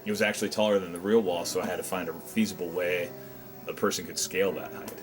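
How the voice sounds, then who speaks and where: A man speaking as a film director, giving measured, earnest behind-the-scenes commentary. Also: sits low, fairly even intonation, music in the background.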